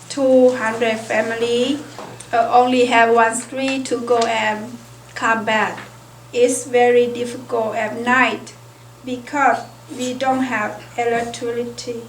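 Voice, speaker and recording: A woman's voice: a little high, a little hiss or hum. A woman student telling a personal story in hesitant, simple learner's English. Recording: echoey room from a far mic.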